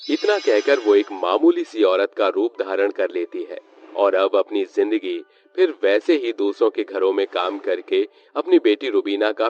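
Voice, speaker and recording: A man's voice: fairly low pitch, darkish tone. Male narrator, scripted storytelling, swooping intonation, dull lo-fi sound, faint music bed. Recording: muffled lo-fi sound with background music.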